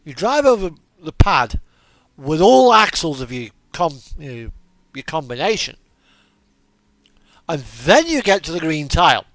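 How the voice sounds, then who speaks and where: A man giving casual gameplay commentary as host of a truck simulator video, rambling and halting with trailing asides. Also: on the high side, big pitch swings, fairly bright tone, no echo.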